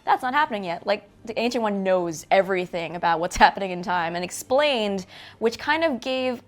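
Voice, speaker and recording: A woman co-hosting a casual film review, retelling scenes in an animated way and acting out lines. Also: medium pitch, normal speed. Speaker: co-host of a film review show. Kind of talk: casual movie discussion.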